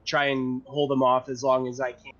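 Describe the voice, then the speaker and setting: A man playing a tabletop role-playing game, chatting casually and thinking out loud with some swearing. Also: mid-range voice, completely flat delivery, normal speed, muffled recording.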